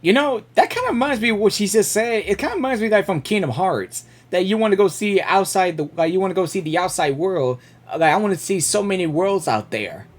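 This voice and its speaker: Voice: medium pitch, lively intonation, a little fast, few pauses. A gaming host giving casual, off-the-cuff reactions, hesitant and repetitive while musing aloud.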